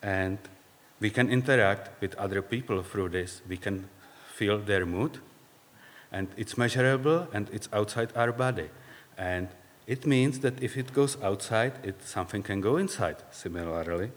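A man with a Czech accent, speaking English as a second language, gives a reflective personal faith talk in halting, searching phrases. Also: lowish voice. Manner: halting, searching for words, non-native phrasing. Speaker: speaker sharing faith story. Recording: long reverb, hall-like.

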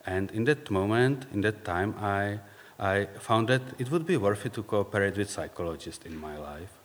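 A man with a Czech accent, speaking English as a second language, gives a reflective personal faith talk in halting, searching phrases. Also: on the low side, animated. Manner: halting, searching for words, non-native phrasing. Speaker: speaker sharing faith story. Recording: long reverb, hall-like.

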